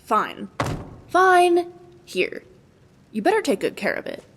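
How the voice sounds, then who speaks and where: A highly animated young woman companion played by an actress in a fantasy game. She is playful, evasive and excitable, with a sulky edge. The voice is fairly high and sits in long reverb. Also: slow, heavily acted. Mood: evasive, grudging. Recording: noisy, long hall reverb.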